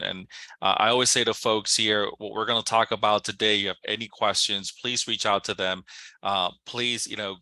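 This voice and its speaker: A man pitches with eager, salesy energy and plenty of fillers. His voice is very bright and sharp, and he sits a little low in pitch. The sound is dry and close. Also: no room sound. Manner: enthusiastic, promotional, loose with fillers. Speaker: regional sales director. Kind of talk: sales presentation.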